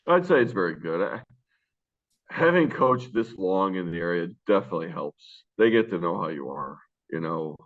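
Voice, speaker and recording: A man with a very low, deep voice talks casually about coaching, with many fillers and restarts. His voice comes through thin and narrow, like a phone line. He is loud and carries well. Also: expressive, moderate pace. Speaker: high school basketball coach. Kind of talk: casual interview answers. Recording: tinny phone-line sound.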